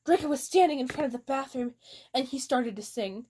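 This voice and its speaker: A young woman with a high voice reads fan fiction aloud as comedy. Her delivery is casual and giggly, swinging into mock drama and stepping out for offhand asides. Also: a little fast, a little mellow, a little hiss or hum. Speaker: amateur fan fiction reader.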